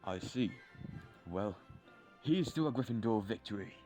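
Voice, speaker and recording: A man playing a gentle, thoughtful schoolteacher in an audio drama, with a measured, kindly way of talking. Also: fairly low pitch, expressive, soft background music. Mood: kindly, encouraging. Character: gentle, thoughtful male schoolteacher mentoring a pupil. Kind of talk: fan audio drama acting.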